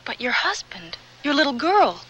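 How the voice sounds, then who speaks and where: A woman's voice: high-pitched, very animated, normal speed, sharp tone, slight background noise, full character voice, low-quality recording. An actress playing a sympathetic, naive young woman in a radio drama, speaking earnestly and questioningly. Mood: shocked, questioning.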